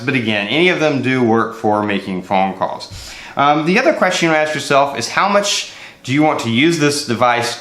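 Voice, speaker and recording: A man in his forties giving a casual, unscripted product comparison as a reviewer, explaining conversationally and haltingly, with repeated words. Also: mid-range voice, fairly bright tone. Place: medium furnished room indoors. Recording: roomy indoor echo.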